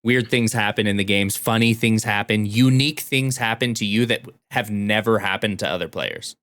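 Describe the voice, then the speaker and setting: A man's voice: lowish voice, normal speed, fairly bright tone, no room sound, studio quality. A man chatting casually and enthusiastically about video games as a podcast co-host.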